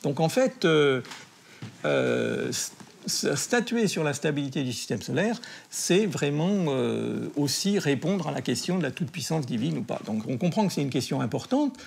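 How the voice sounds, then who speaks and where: A historian of science interviewed for a documentary, speaking hesitantly with many fillers. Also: male voice, on the high side, very animated, normal speed, gravelly, darkish tone, slightly rough.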